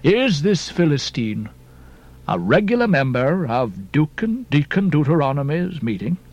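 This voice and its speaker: A man's voice: on the high side, big pitch swings, darkish tone, highs cut off. Man doing hollow, stern old pious captain. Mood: scolding. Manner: slow, preachy, archaic thee and thou.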